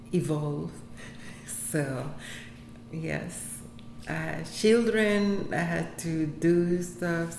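A woman from Puerto Rico whose first language is Spanish speaks English with a Puerto Rican accent, answering interview questions in a halting way with many fillers and laughs. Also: sits low, creaky, darkish tone. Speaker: interviewee, health center employee. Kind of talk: casual interview. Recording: echoey room, faint background noise.

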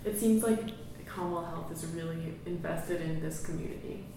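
A woman's voice: sits low, moderate pace. A woman interviewer asking curious, friendly questions. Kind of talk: casual interview. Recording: distant, very echoey, noisy room.

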